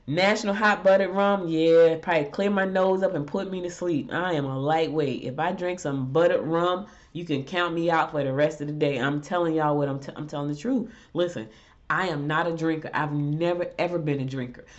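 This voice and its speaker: A woman's voice: low voice, fairly even intonation, brisk. A middle-aged woman with a stuffed-up head cold, hosting a morning show in a chatty, emphatic monologue. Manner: chatty, emphatic, exasperated rants. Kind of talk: casual talk show monologue. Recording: dull lo-fi audio.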